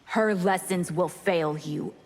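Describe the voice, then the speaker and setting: A woman's voice: fairly low pitch, long reverb tail. An actress voicing a bloodthirsty, contemptuous winged vampire woman as a video game villain. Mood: menacing. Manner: slow, ominous, contemptuous.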